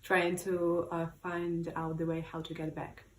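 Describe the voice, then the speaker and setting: A woman in her twenties from Ukraine, telling her story in an interview with many fillers and pauses. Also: lowish voice, monotone. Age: 20s. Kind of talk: casual recounting. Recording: roomy echo, distant mic, faint noise.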